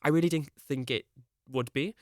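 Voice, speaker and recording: A man's voice: mid-range voice, moderate pace, no room sound, clean studio sound. A young man, chatting as a podcast guest, rambling and full of "like". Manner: rambling, peppered with "like". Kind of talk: casual chat.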